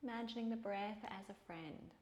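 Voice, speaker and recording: In an echoey room, a woman leads a gentle yoga meditation. She speaks calmly and soothingly at a middle pitch, leaving space between her phrases as she gives instructions. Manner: calm, soothing, unhurried with pauses between phrases. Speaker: yoga teacher.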